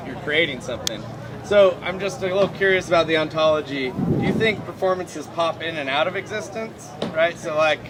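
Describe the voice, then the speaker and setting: A man asking an informal philosophical question from the audience, inquisitive and often checking in with tag questions. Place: outdoor campground at an amphitheater. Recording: noisy outdoor event, engine-like background rumble.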